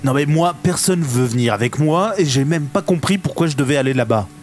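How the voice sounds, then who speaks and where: A man's voice: fairly high pitch, lively intonation, a little fast, natural voice. A man playing an aggrieved, complaining lone adventurer in a comedy audio drama.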